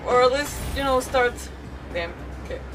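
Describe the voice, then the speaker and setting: High-pitched, animated woman livestreaming a game. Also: normal speed, distant mic, noisy background. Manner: playful, self-interrupting, muttering to herself. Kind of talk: casual gameplay commentary. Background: game music.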